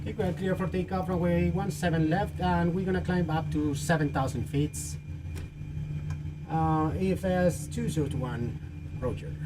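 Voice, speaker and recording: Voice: mid-range voice, moderate pace, background noise. A flight simulator pilot talking through procedures step by step, with short callouts and radio phraseology, as a live demonstration.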